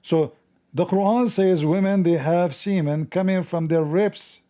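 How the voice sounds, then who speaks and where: A man's voice: high-pitched, measured pace, dark tone. A man hosting a commentary show, talking in a sarcastic, mocking way. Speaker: host.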